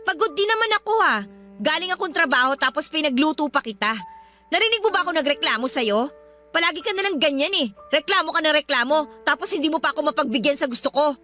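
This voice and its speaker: An actress playing a bold young wife who speaks in a teasing, insistent way in a radio drama, with faint music behind. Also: female voice, sits high, lively intonation, fast, pushed and bright. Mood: indignant. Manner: teasing and insistent, then indignant. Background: background music.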